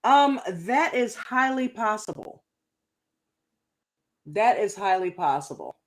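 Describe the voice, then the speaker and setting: A woman casually reviewing a reality show on a livestream, slangy and animated, acting out quotes in a mocking tone. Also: medium pitch, slow pace. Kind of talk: casual reaction livestream chat.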